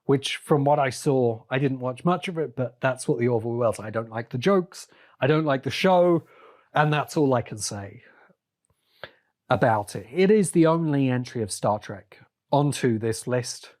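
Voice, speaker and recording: A man hosting a solo podcast, giving opinionated commentary in deliberate, halting phrases with short bursts of emphasis. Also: medium pitch, expressive, darkish tone. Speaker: podcast host.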